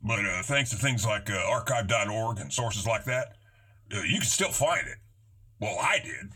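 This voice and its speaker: An American man banters as a podcast co-host, sardonic and folksy. His voice is bright and forceful with a husky rasp, and it moves around a lot in pitch. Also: expressive, moderate pace. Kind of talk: casual banter.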